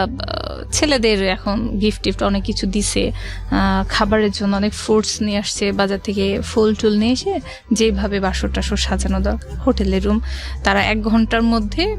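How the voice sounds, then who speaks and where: A middle-aged woman telling her life story as a studio guest, conversationally, repeating and rephrasing as she goes. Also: mid-range voice. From Rajshahi, Bangladesh. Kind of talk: personal life-story narration. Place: radio studio. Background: music.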